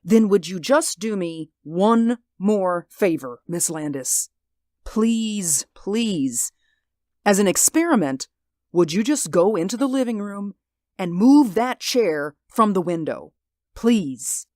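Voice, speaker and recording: An actor in a 1940s radio drama playing a haunted, sleepless man who pleads in an intense, hushed voice. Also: sits high, expressive, takes it slowly, no room sound, clean studio sound. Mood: pleading.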